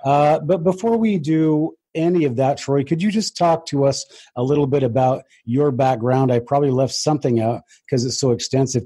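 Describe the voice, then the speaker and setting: Mellow, husky male podcast host. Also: medium pitch, no echo. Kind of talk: conversational podcast hosting.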